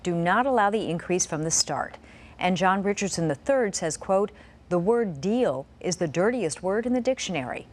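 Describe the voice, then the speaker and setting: A woman's voice: on the low side, lively intonation, normal speed. An American woman anchoring TV news, reading from a script.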